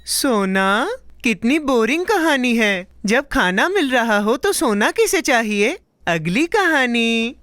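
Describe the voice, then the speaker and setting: Little cartoon boy, high voice, unhurried, childlike and expressive, faint music behind. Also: dry recording, clean studio sound, exaggerated voice. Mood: bored, dismissive. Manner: childlike, expressive, easily bored. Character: José, playful food-obsessed little boy cartoon character.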